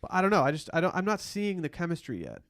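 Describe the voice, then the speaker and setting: A man's voice: high voice, wildly swooping pitch, quick pace, fairly bright tone. A thirty-year-old man co-hosting a podcast, chatting casually about a reality TV show. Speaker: podcast co-host. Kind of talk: casual chat recapping reality TV.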